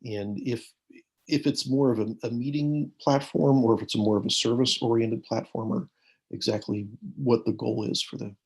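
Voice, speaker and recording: A man on a video call, asking questions in a measured, hesitant way with fillers. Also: mid-range voice, fairly even intonation, vocal fry, darkish tone, husky. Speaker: church participant in a video call.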